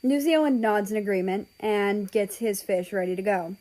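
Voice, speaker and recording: A woman's voice: mid-range voice, moderate pace, mellow. A woman reading a first-person story aloud as scripted narration. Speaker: fan fiction reader.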